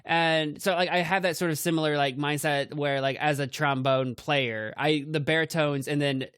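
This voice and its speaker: Young male podcast co-host, light high voice, giggly, filler-heavy, dry home mic. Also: steady tone, moderate pace, fairly bright tone, clean tone, dry recording. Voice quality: higher-pitched voice. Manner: laughs often, lots of "like" fillers. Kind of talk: casual chat. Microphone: home mic over voice chat.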